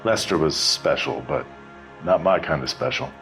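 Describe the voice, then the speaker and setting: A guarded, sardonic barkeep from a 1940s detective game. The voice is low and the delivery dry and offhand, as if giving away little. Soft music sits behind it. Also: male voice, lively intonation, normal speed. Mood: wry. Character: guarded, sardonic working-class bar manager. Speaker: bartender. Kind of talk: scripted video game dialogue, 1940s crime drama.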